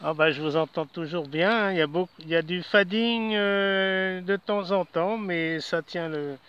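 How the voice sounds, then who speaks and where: A man in his seventies chatting casually over amateur radio with call signs, in a halting, courteous way. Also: high-pitched, background noise. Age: 70s. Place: outdoors in a city park. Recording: dull lo-fi audio.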